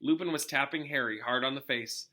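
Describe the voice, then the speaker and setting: A man, a teacher, reading a novel aloud as expressive storybook narration. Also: medium pitch, fairly quick.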